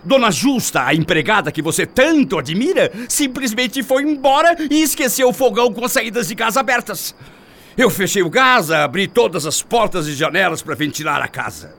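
An actor playing a scheming, indignant middle-aged husband pompously justifying himself in a radio drama. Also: male voice, sits high, very animated, moderate pace, creaky, fairly bright tone, exaggerated voice.

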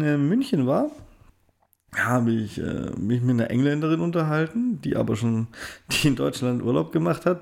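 Gravelly German man joking on a gaming podcast. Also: a little high. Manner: joking, teasing, lively anecdotes. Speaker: podcast co-host. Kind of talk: casual gaming podcast chat.

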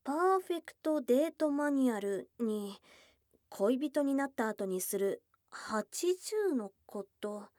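Woman doing a shy tsundere goblin girl for asmr drama, high soft voice, kinda tomboyish, super dry close mic. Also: lively intonation, takes it slowly, no room sound. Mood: nervous. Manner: casual tomboyish speech, soft and hesitant. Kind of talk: Japanese ASMR situation voice drama. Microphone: close studio mic, dry.

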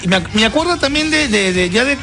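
High-voiced chatty man hosting over music. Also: expressive, fast, bright, forceful tone. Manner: chatty, repeats himself, tags phrases with questions. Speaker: radio show host. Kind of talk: casual chat. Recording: music playing behind the voice. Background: music bed.

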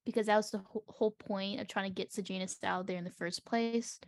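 A woman with noticeable vocal fry and a medium-pitched voice discusses a book casually as a podcast co-host. She is recorded dry, with little room sound. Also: fairly even intonation, fast talker, no echo.

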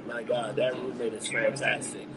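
A man's voice: mid-range voice, flat delivery, normal speed, a crowd in the background. A man from New York with a New York accent, chatting casually and brashly as a podcast host, swearing and rambling through stories. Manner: brash, profane, rambling storytelling. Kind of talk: casual bar-talk podcast chat.

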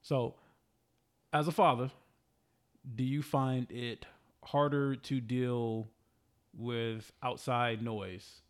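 A man's voice: medium pitch, level tone, extremely slow, pauses often, clear, resonant tone. A man in his thirties co-hosting a casual podcast, talking bluntly with teasing, slangy remarks. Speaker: podcast co-host. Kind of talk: casual chat.

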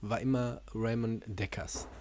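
A man's voice: on the low side, steady tone, measured pace, a little mellow, a little hiss or hum. A man hosting a podcast in a casual chat, asking questions conversationally. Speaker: podcast host.

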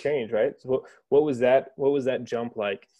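A man's voice: medium pitch, moderate pace, mellow. A man hosting an interview, prompting his guest with conversational questions and hesitant restarts. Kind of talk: casual interview.

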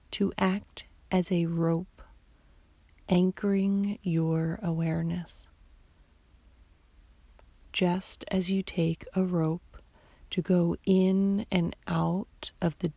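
A woman guiding a sleep meditation in a soft, half-whispered, soothing voice, leaving long pauses between short phrases. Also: on the low side, gravelly, breathy edge, no room sound. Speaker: meditation guide.

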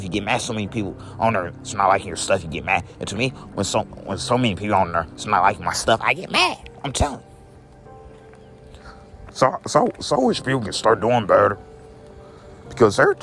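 A young man with a deep voice, talking in a rambling, repetitive vlog rant, whose voice jumps high when he gets upset. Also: vocal fry, a bit bright. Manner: repeats each phrase, voice leaps high when upset. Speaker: vlogger.

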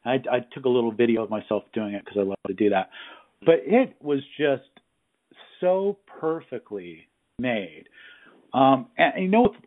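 A husky-voiced man chats as an interviewer through tinny, phone-like audio. His delivery is informal and effusive, coming in halting phrases, at a middling pitch. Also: expressive. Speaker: interviewer, hands-on tradesman. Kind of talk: casual interview chat. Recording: husky-sounding, thin phone-line audio.

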